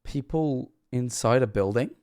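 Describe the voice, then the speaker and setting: A man's voice: mid-range voice, measured pace, warm tone. A British man giving casual, off-the-cuff reaction commentary as a livestream host, joking and swearing in a sarcastic way. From Britain.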